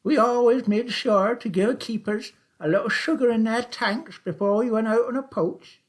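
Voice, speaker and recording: A man voicing a cheerful old taxi driver who chatters in a high, excited country manner. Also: moderate pace. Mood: gleeful.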